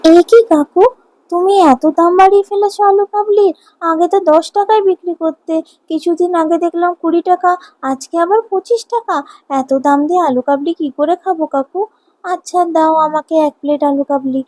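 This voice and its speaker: A woman's voice: very high voice, a little fast, mellow. A woman voicing a fussy, outspoken little boy in a cartoon, plaintive and questioning. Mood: surprised, whining. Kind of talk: Bengali cartoon morality tale acting.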